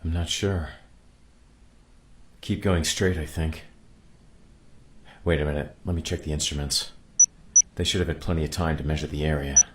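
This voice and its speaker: An actor playing a pragmatic, weary commanding officer of a stranded space crew in a measured radio play performance. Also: male voice, rumbling low, fairly even intonation, background noise. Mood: pondering. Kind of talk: naturalistic radio play acting.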